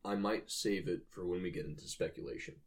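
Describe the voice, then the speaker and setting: A man's voice: low-pitched, steady tone, brisk. A man co-hosting a casual speculative discussion, explaining his ideas with enthusiasm as he reasons aloud. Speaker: podcast co-host.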